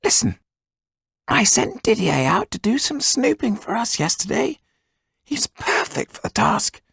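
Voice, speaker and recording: A man doing a tetchy schemer in a storybook reading, pitched well above his own voice, gravelly and husky, swooping all over. He speaks curtly and with constant irritation. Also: big pitch swings, a little slow, sharp tone, dry recording, clean studio sound, full character voice. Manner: curt, irritable.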